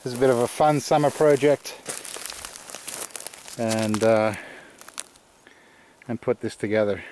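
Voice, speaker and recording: A middle-aged Australian man giving a rambling, relaxed walking tour outdoors in the woods, full of fillers and restarts. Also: mid-range voice, takes it slowly, noisy background. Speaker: channel host. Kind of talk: casual walking tour vlog. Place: outdoors in rural woodland.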